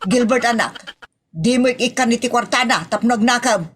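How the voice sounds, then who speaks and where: Woman acting a high-voiced, nagging wife. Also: moderate pace, background noise, lightly acted. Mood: exasperated. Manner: scolding, pointed rhetorical questions. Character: exasperated, sharp-tongued wife and mother, nagging. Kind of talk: comedy audio drama acting.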